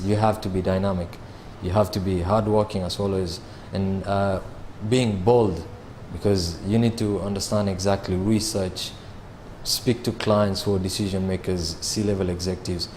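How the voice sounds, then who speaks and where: A man, answering interview questions about his sales job in a measured way, with frequent fillers and restarts. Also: low-pitched, darkish tone, a little hiss or hum. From Kenya. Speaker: sales manager.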